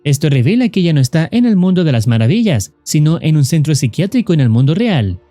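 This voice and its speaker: Lively, mellow male narrator. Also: on the high side, no room sound. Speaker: narrator of a horror series recap. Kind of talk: scripted narration, explaining a story.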